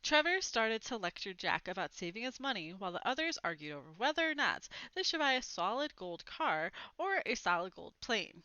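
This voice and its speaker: A woman reads a children's story aloud in an animated, dramatic way. Her pitch swings widely through each sentence and her tone is bright and forward. The sound is dry, with very little room to it. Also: fairly quick, hardly any pauses, clean tone, dry recording, lo-fi sound. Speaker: storybook reader. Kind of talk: expressive read-aloud of a children's novel.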